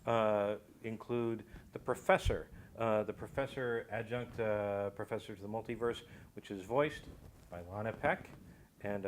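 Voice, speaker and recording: Male guest, lowish voice, explanatory and measured, slightly formal, faint noise behind. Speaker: guest, audio drama creator. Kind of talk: explanatory interview talk.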